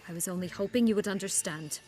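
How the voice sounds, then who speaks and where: A woman's voice: medium pitch, quick pace, music in the background, lightly acted. Fantasy game role: actress as haughty exiled noblewoman, mid-range voice, sardonic, commanding, coolly threatening, faint score behind. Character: proud, cool, sardonic exiled noblewoman with menace. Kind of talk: dramatic fantasy game acting.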